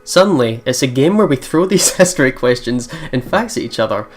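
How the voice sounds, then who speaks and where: Excitable let's player over faint game music. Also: mid-range voice, brisk. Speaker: let's play host. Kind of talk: casual game commentary and reading narration aloud. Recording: game music behind commentary. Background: visual novel game music.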